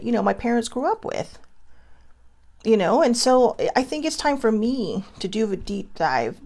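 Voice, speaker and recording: A woman's voice: medium pitch, creaky, a little mellow. A woman from Hawaii hosting a casual vlog, talking to her viewers in a chatty, meandering way with lots of fillers and restarts. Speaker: vlog host. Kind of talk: casual rambling monologue to viewers.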